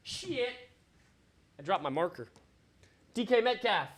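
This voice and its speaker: A man hosting a live fantasy football stream in a casual, slangy, sweary way, thinking out loud, his voice starting to give out. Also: sits high, big pitch swings, takes it slowly. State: losing his voice. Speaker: livestream host. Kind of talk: casual live fantasy football draft commentary with chat shout-outs.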